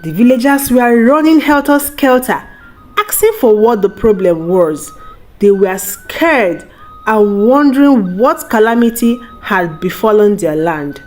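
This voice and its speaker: A woman storyteller narrates a folktale at a slow, deliberate pace. Her delivery is dramatic, with pitch that moves freely up and down. Music plays underneath her voice. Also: lively intonation, darkish tone.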